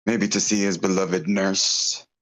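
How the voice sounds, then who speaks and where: Man playing a low, dry, bossy gang leader. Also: little pitch movement, unhurried, a bit bright. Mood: weary. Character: sardonic male ringleader of bumbling murderers. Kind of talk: amateur script table read.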